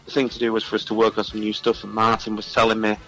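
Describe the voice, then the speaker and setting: A man's voice: fairly low pitch, completely flat delivery, racing, fairly bright tone, soft background music, poor audio. A man being interviewed as a musician, chatting casually and good-humouredly in a rambling way that circles back over phrases.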